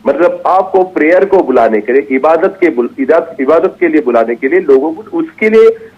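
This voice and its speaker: A husky man's voice, slightly high, heard over a dull lo-fi remote feed. He explains his side of a news debate in a halting way. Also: expressive. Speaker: party spokesperson, debate panelist. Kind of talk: TV debate argument. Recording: muffled lo-fi remote call feed.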